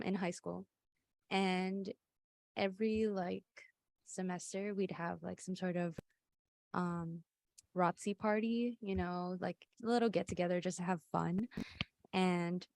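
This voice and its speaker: A woman's voice: medium pitch, no room sound. An eighteen-year-old woman telling a story on a casual podcast, chatty and full of fillers. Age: young adult. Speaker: co-host.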